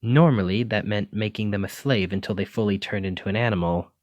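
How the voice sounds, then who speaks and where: A man's voice: lowish voice, fairly quick, no room sound, studio quality, close to an everyday voice. A man voicing a clumsy, kindly wizard in an ASMR roleplay, courteous and apologetic, stammering when flustered. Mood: apologetic, worried. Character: clumsy, kindly wizard bookseller teaching magic. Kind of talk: ASMR roleplay addressed to listener.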